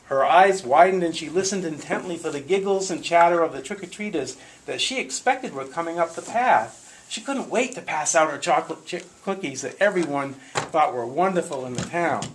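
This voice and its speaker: A man telling a story theatrically and deliberately to a group, with playful asides. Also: a little high, expressive. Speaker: live storyteller. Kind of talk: dramatic spoken storytelling to a live group. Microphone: small consumer video camera in the room. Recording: echoey noisy room, consumer camcorder audio.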